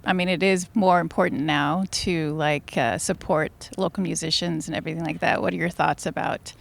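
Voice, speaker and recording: A woman's voice: low voice, steady tone, normal speed, gravelly. A woman hosting a casual interview, speaking informally and agreeably. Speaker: interviewer, radio host.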